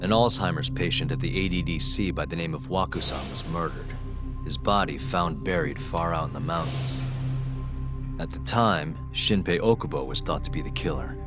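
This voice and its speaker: Tough determined interrogator in a video game, low voice, blunt and menacing, music blasting behind. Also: male voice, moderate pace. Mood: grave accusation. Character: hard-boiled male interrogator, determined, menacing, impatient. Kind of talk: dramatic video game cutscene acting.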